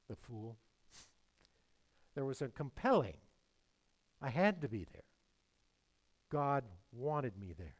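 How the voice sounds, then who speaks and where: An older man preaching a sermon, stressing key words and repeating phrases, sometimes rising and then dropping to a hush. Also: medium pitch, poor audio. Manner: emphatic, repeats key phrases, swings between loud and hushed. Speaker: pastor.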